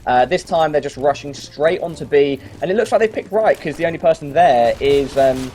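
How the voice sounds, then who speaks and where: A man's voice: fairly high pitch, moderate pace, slight background noise. Chatty young man casting esports. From London. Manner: chatty, jokey, excitable on big plays. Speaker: amateur game caster. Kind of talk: casual esports commentary.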